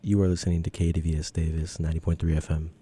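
A man with a very deep, very dark voice makes a slow, loose station announcement as a radio DJ. He drawls through the call-in details. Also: level tone, breathy edge.

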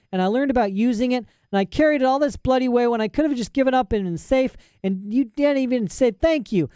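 A man reading a story aloud and voicing a defiant young girl on the edge of tears, with rough, ungrammatical speech. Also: high voice, mellow, no echo, studio quality, voice pushed up, lightly acted. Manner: rough working-class speech, indignant.

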